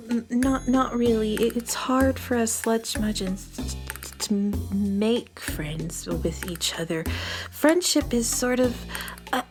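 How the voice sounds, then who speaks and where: A woman voicing a shy, anxious young alien girl with a heavy stammer in a children's audio drama. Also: a little high. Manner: heavy stammer, hesitant, timid. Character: shy, anxious young girl sludge creature from gloomy planet.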